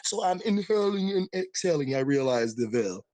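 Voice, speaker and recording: A man's voice: fairly high pitch, sing-song, normal speed, darkish tone, poor audio. A man in a put-on Caribbean island accent, rambling and boasting in profane casual chat on a call-in show.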